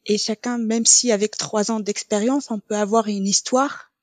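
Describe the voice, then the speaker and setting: A young woman speaking French as a non-native, talking as a podcast guest and pausing often on filler sounds. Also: medium pitch, steady tone, a little mellow, no echo. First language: neither French nor English, trilingual. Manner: hesitant, many filler sounds. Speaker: podcast guest, quality coach. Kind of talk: casual interview talk.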